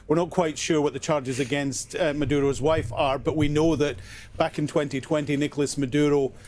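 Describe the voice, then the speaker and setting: A male news correspondent reporting live, measured and steady, with some hesitant fillers. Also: fairly high pitch.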